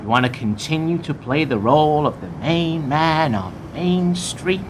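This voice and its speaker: A man's voice: fairly high pitch, lively intonation, unhurried, background noise. A man playing a ruthless corporate bank executive in a radio play, hard, emphatic and condescending. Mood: hard, menacing. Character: blunt, ruthless male corporate bank executive delivering bad news.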